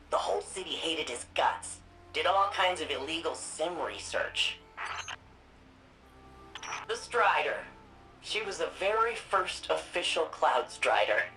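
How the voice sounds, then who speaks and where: An actress voicing a spirited, nerdy young woman archivist in a video game, quick and chatty. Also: low-pitched, pushed and bright, full character voice, low-quality recording. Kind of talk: video game dialogue and narration.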